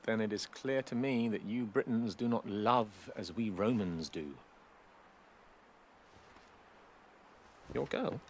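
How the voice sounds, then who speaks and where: An actor playing a smug, cruel young Roman prince in a video game, mocking and imperious. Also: male voice, fairly low pitch, normal speed, a little hiss or hum, natural voice. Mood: menacing. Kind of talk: video game cutscene acting.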